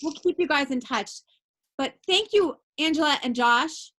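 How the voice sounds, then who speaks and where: A woman's voice: high-pitched, lively intonation, normal speed. Chatty woman teacher hosting a video class. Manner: warm, chatty, many fillers. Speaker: college teacher leading the class. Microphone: video call.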